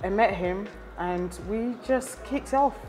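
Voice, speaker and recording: A young woman with a Bristol and London English accent, telling her story in a relaxed, casual way. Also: medium pitch, takes it slowly, soft background music. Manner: relaxed storytelling, laughs warmly. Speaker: guest. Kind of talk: casual interview chat.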